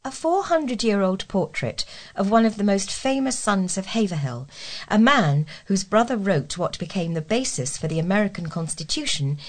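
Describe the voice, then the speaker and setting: A woman reading scripted local news as a volunteer news reader. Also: lowish voice.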